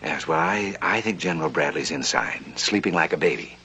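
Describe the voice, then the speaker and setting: An actor playing a smooth, scheming banker in a 1950s television western, dry and persuasive in the way he talks. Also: very deep voice, animated, brisk, bright, forceful tone, slight background noise, heavily acted. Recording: old TV soundtrack with faint music.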